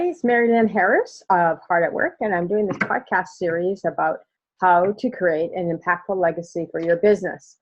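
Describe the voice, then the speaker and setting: Woman, podcast host, low-ish voice, chatty and rambling, muffled lo-fi sound. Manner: chatty, laughing, rambling questions. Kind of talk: casual interview hosting.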